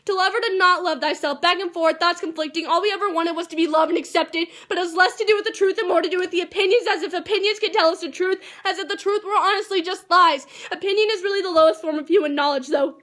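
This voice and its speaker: A woman's voice: very high voice, fairly even intonation, quick pace, hardly any pauses, a bit bright. A teenage girl, an amateur slam poet, reciting spoken-word poetry in an earnest, emphatic, rehearsed delivery. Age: teens.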